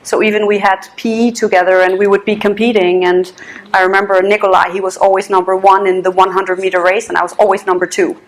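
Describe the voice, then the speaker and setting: A woman's voice: mid-range voice, level tone, low-quality recording. A woman from Denmark speaking on a seminar panel, often repeating words as she searches for the next phrase. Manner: talks in quick bursts with many repeated words.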